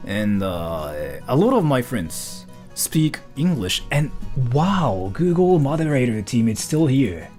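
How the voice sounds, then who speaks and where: Streamer dude from japan rambling through chat questions, lots of likes and uhs, voice bounces up and down. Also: male voice, mid-range voice, big pitch swings, takes it slowly, hardly any pauses, a little mellow, soft background music. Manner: rambling and loose, full of "like" and "uh". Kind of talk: casual livestream chat, reading viewer questions.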